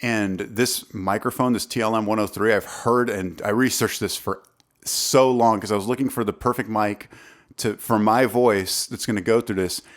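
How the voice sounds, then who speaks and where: A man with a deep voice talking casually to camera about songwriting, rambling with fillers and restarts, close on a studio condenser mic. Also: steady tone, moderate pace. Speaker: songwriter vlogger.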